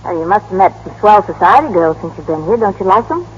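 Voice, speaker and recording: An actress playing a soft-hearted, wistful young American woman in a vintage radio drama, speaking in a gentle, probing way. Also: mid-range voice, lively intonation, fast, close to an everyday voice. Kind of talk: 1930s-40s radio drama acting. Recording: dull, noisy old radio broadcast.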